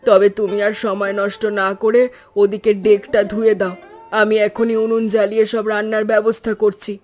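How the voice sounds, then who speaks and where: Cartoon village wife, acted by a man: high voice, fretful yet caring, soft music underneath. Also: monotone, brisk, natural voice. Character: anxious, caring village wife named Sarojini. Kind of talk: animated moral story dubbing.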